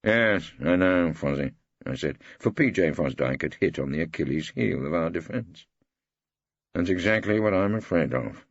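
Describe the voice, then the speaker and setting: Irreverent older English male barrister, man acting, droll audiobook narration, raspy, close dry slightly dull recording. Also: low-pitched, animated, normal speed, no echo. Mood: wry, droll. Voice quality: gravelly. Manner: wry, droll. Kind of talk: first-person audiobook narration with dialogue.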